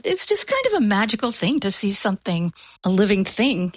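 A woman, an environmentalist, speaking as a radio interview guest, enthusiastic and a little halting. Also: medium pitch, big pitch swings, dry recording.

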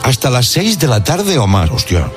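A man's voice: medium pitch, huge pitch swings, normal speed, loud music behind the voice. A man co-hosting a radio comedy show, playfully reading listener messages aloud and bantering about them.

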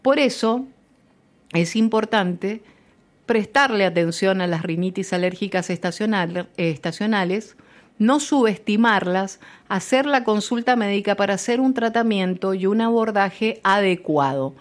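A woman's voice: mid-range voice, a little slow. A middle-aged woman from Mendoza, explaining health advice on the radio in a didactic way, often restarting and repeating words. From Mendoza, Argentina.

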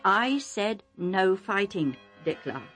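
A woman voicing a calm, firm old male chieftain in a children's story, speaking slowly with measured authority. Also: mid-range voice, soft background music, close to an everyday voice. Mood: stern, calm.

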